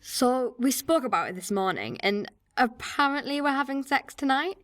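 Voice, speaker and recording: A woman's voice: high-pitched, expressive, normal speed, fairly bright tone, dry recording, far from the mic, faint music behind. An actress playing a nervous, eager young woman in a radio drama, recorded slightly away from the microphone. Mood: nervous, excited.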